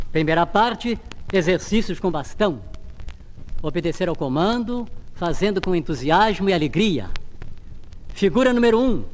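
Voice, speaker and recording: A man's voice: high-pitched, big-room sound. A Brazilian man leading vintage radio gymnastics with formal, clipped, commanding instructions and counts. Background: piano music.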